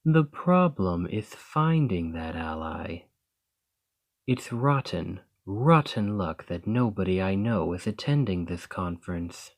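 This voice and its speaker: A man voicing a proud male lamia noble in an ASMR roleplay, speaking in a formal, measured and wry way. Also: low voice, heavily acted.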